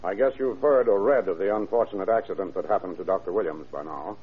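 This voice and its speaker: A calm police lieutenant in a vintage radio crime drama. His voice is low and husky, his questions measured and polite. The recording is dull and old. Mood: businesslike. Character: calm, probing male police lieutenant on a case.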